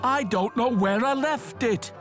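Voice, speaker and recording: A man's voice: extremely high pitch, a little slow, over music, voice pushed up. A man voicing a proud, stern railway boss in a children's story, commanding and emphatic. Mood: alarmed.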